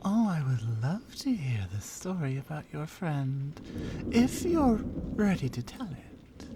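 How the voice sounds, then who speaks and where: A woman playing a strong, tender lioness in an intimate ASMR roleplay, speaking softly and earnestly with deliberate, halting phrasing. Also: sits low, big pitch swings, background noise, full character voice. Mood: tender, curious.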